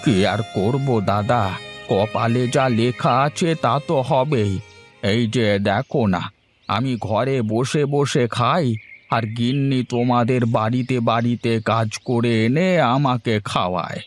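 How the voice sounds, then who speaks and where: Cartoon role: put-upon village father, middle-aged, jobless, resigned played by a man, soft music bed. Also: mid-range voice, unhurried, natural voice. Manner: plaintive, resigned. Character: poor, jobless, weary village husband and father. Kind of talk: Bengali cartoon drama dubbing.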